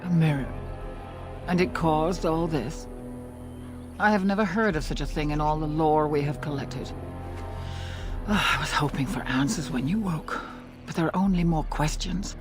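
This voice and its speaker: A woman playing a grave, commanding older elf healer who leads her clan in a fantasy game, speaking formally and with measured solemnity. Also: deep voice, close to an everyday voice. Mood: worried, urgent. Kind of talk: fantasy game dialogue acting. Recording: music behind the dialogue. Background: background music.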